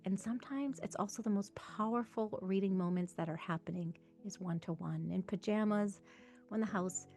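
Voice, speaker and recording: A woman's voice: mid-range voice, moderate pace, darkish tone. A woman hosting as a tutor, giving instructional advice to parents in an encouraging, emphatic way. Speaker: reading tutor and host. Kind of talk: instructional talk to camera for parents.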